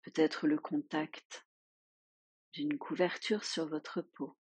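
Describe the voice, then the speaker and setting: A woman leading a guided yoga nidra relaxation as a teacher, giving soothing, unhurried instructions with long pauses. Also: low-pitched, level tone, darkish tone, slightly rough, no echo.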